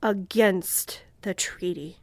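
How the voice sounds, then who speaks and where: A woman plays a commanding vampire countess for a fantasy audio drama. She speaks slowly and regally, coolly indulgent, her breathy voice often sinking toward a whisper. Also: mid-range voice, darkish tone, no room sound. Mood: stern, possessive. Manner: measured, regal, condescendingly affectionate.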